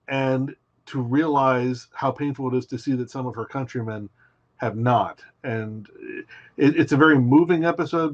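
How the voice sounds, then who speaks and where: Man telling a story over dull audio. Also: medium pitch. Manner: detailed storytelling with frequent "you know". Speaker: podcast guest, writer. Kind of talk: casual interview talk.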